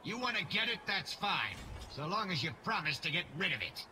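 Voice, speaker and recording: An actor playing a proud, irritable robot defector in a cartoon-style video game, speaking in a sardonic, curt manner. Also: male voice, high-pitched, sing-song, brisk, sharp tone, a little hiss or hum, exaggerated voice, poor audio. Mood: grudging.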